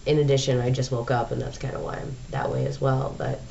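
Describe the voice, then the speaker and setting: A woman's voice: rumbling low, level tone, fast, gravelly, mellow, distant mic. A young woman with a stuffed-up, nasal voice from a sinus infection, chatting casually in a video diary with lots of ums. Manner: rambling, chatty, frequent ums. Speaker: touring show crew member vlogging. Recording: dull lo-fi audio from away from the mic, faint noise.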